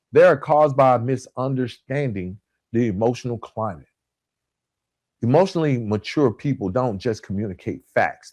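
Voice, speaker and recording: A man hosting a podcast in a motivational coaching style, emphatic and deliberate, repeating key points and dropping in colloquial asides. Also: mid-range voice, a little mellow, dry recording, clean studio sound. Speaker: podcast host. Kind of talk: motivational relationship coaching talk.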